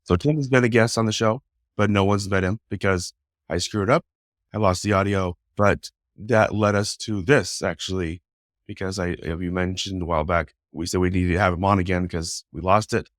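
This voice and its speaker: A man's voice: sits low, steady tone, normal speed, no echo, clean studio sound. A man co-hosting a podcast, chatting loosely and correcting himself as he asks questions. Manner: loose, self-correcting interviewer. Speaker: podcast co-host. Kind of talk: casual chat.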